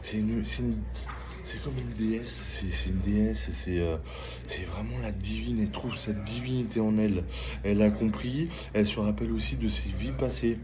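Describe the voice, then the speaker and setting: Low-voiced man, halting casual talk, noisy background. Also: steady tone. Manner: halting, repeats phrases, often checks in with listener. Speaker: tarot reader. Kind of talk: casual spoken tarot reading with personal chat. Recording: clearly noisy ambient background.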